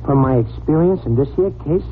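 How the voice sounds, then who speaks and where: A dopey, good-natured cab driver played by a male actor in a 1940s radio drama. His slangy, fairly high voice swings up and down eagerly. The recording is narrow and hissy. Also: animated, natural voice. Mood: earnest, proud. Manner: slangy, ungrammatical, slow-witted. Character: dim, cheerful male cab driver sidekick. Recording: scratchy, narrow-band old radio.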